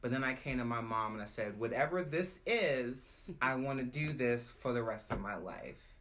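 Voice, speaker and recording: A woman who founded and directs an arts nonprofit, telling her story in an emphatic, expressive way in an interview. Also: rumbling low, normal speed. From United States.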